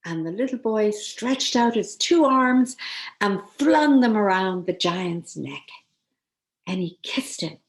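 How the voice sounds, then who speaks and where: A woman storyteller reading a children's tale expressively, with deliberate dramatic pauses. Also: medium pitch, lively intonation.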